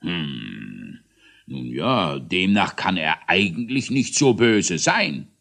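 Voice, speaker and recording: Ein Mann als bedächtiger, gütiger Herrscher der Fabelwesen. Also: male voice, low voice, big pitch swings, gravelly, a bit bright, exaggerated voice. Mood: pondering. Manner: measured, regal, deliberate. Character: dignified, thoughtful, benevolent male ruler of goblin-like creatures.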